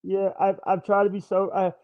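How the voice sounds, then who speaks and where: A high-voiced man from Mississippi with a stutter, speaking haltingly and restarting often. The recording sounds dull and lo-fi, with a dry, close sound. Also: completely flat delivery, dry recording. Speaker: streamer host. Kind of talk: casual chat.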